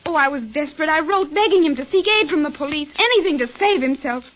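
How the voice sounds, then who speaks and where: A woman's voice: high voice, expressive, fast, slight background noise. A woman playing an anxious, earnest young lady in a 1930s radio melodrama, fretful and carefully spoken. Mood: distressed. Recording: muffled old 1930s radio recording.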